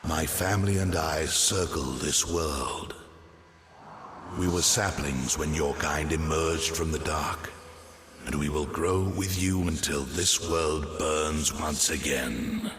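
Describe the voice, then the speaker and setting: Ancient, very deep-voiced giant tree. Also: male voice, slow pace, fairly bright tone, big-room sound, a little hiss or hum, heavily acted. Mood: solemn, watchful. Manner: solemn, cryptic, stately phrasing. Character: ancient giant talking tree, wise, grave guardian. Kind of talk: scripted fantasy game dialogue.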